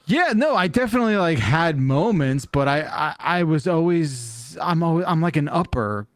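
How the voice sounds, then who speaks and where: A man with a fairly high, lively voice chats casually as a podcast host. He sounds incredulous and jokey, in a dry, close recording. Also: moderate pace, dry recording. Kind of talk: casual joking chat.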